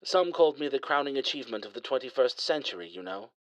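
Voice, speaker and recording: A man's voice: medium pitch, animated, fast talker, no echo, pitched above the performer's own voice, close to an everyday voice. A man voicing a prim, dryly sarcastic male ship AI that speaks with a light English accent. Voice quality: smooth, polite, dry.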